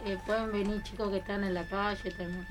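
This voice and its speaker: A woman with an Argentine accent and a medium-pitched voice chats casually as a guest. Her answers are brief and hesitant, and music plays clearly behind her. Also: little pitch movement, fairly quick. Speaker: adult student, interview guest. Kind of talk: casual chat.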